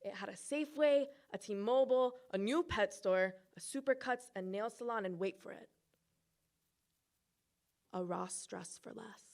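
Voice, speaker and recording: Young woman, from Hawaii, student presenter, staged personal story, playful asides, comic timing. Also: on the high side. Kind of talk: performed personal narrative at a recital.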